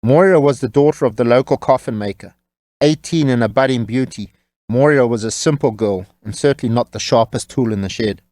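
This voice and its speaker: Guy reading an audiobook, medium pitch, wry n measured, super dry studio sound. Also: darkish tone, dry recording. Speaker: narrator. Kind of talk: scripted audiobook storytelling.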